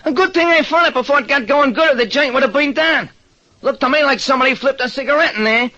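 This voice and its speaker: A muffled old radio drama gives us an excitable diner hand. The voice is extremely high and sharp. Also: male voice, quick pace. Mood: excited. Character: excitable male diner day worker. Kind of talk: 1940s radio acting. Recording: dull old radio recording.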